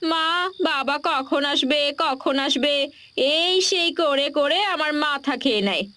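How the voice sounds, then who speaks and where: A woman's voice: sits high, unhurried, bright, forceful tone. A woman playing a caring, fretful poor village wife and mother with a coaxing, homely manner in a Bengali cartoon fairy tale. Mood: fussing. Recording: faint rain noise behind.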